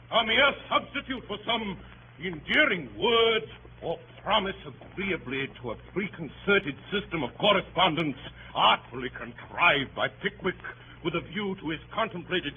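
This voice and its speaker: An actor playing a pompous, bullying Victorian barrister in theatrical 1930s radio style, bombastic and sharply emphatic. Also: male voice, full character voice. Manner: bombastic, grandiloquent, sharply emphatic, swooping from hushed to booming. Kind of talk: 1930s radio acting, courtroom oratory. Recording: dull, noisy vintage 1930s radio recording.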